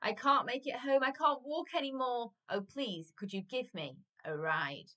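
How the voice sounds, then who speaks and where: A woman's voice: medium pitch, animated, unhurried, a bit bright, far from the mic, pitched above the performer's own voice. A woman voicing small children in a storybook, sweet and eager, pleading emphatically. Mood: tearful, pleading.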